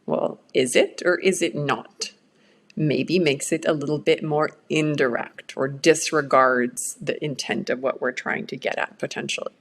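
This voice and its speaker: A woman's voice: sits low, fairly even intonation, measured pace. A middle-aged woman whose first language is Swedish, giving an earnest, reflective workshop talk on change management.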